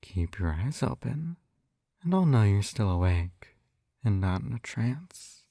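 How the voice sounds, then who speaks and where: A man playing a possessive, coaxing hypnotist captor in an intimate ASMR roleplay, with a soft velvety voice and teasing manner. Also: on the low side, big pitch swings, no room sound, clean studio sound, exaggerated voice. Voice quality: soft, velvety, breathy whispers at times. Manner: slow coaxing, teasing tag questions.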